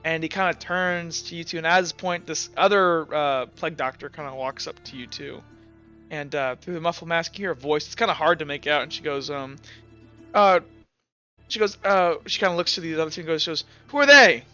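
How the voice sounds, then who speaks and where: A man's voice: a little high, brisk, pushed and bright, clean tone. A man improvising narration as a tabletop game master, in hesitant, piecemeal bursts full of fillers. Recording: dull audio, faint music behind. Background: faint music.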